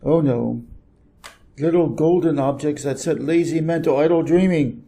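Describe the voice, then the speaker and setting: A man's voice: a little high, moderate pace, creaky, dark tone. A man voicing a busy, self-important, irritable middle-aged businessman from a storybook, brusque and emphatic. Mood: pompous, irritated. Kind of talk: storybook character reading.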